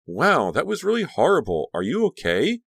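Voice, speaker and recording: An actor voicing a bossy, high-strung teenage girl who fusses in a bright, reassuring way. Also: wildly swooping pitch, normal speed, breathy edge, no room sound, full character voice. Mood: soothing. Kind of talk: audiobook character reading.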